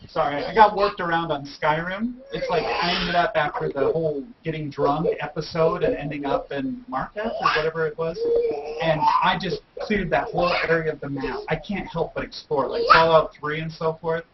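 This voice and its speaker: Man amid loud crowd noise, muffled call. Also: a little high, fairly even intonation, normal speed. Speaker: hangout participant. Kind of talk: casual chat.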